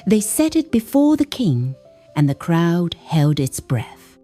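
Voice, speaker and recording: Slow, animated woman storyteller over music. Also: lowish voice. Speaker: folktale narrator. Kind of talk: dramatic scripted storytelling narration.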